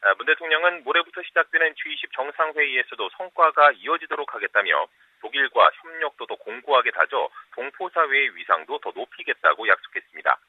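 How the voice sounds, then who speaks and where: A man reading a news report in a steady broadcast style. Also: mid-range voice, fairly quick, hardly any pauses, dry recording. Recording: tinny phone-like broadcast audio.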